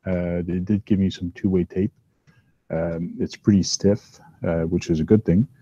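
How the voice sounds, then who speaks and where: A man's voice: low voice, steady tone, measured pace, husky, no echo, highs cut off. A man with a big voice hosting a casual chat while working at a hobby bench at home, rambling with lots of ums and uhs. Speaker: host. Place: downstairs workbench at home.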